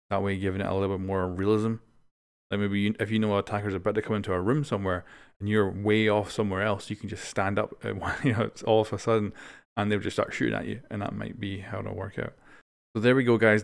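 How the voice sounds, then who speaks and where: A man's voice: lowish voice, creaky. A man hosting a game news show with casual, conversational commentary. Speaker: host of a gaming news show.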